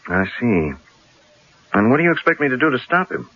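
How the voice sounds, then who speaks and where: An actor playing a wry, worried newspaper columnist in a radio drama, with a dry, measured manner. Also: male voice, mid-range voice, sing-song. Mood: wry, tense. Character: wry, worried male newspaper columnist facing a death threat. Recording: muffled old radio drama recording.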